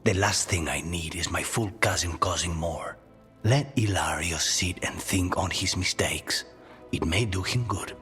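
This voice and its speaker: A man acting a weary, loyal assassin leader in a video game, speaking in a hushed, dry, understated way. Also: sits low, unhurried, faint music behind. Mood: weary, resolute.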